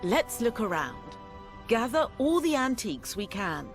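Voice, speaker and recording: An actress playing a loyal, respectful and confident young adventurer, a former pupil, in a video game. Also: female voice, on the high side, sing-song, unhurried. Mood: brisk, confident. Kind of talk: video game cutscene acting. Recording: music under the voice.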